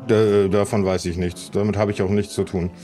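Guy acting as gruff helpful pirate in an audio play, lowish voice, kinda muted tone, stops and thinks a lot. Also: flat delivery, a little fast, dark tone, faint music behind, close to an everyday voice. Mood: brusque.

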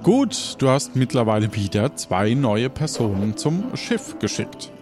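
A man narrating an improvised pirate adventure as game master, pausing as he invents the scenes. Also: mid-range voice, sing-song, music in the background.

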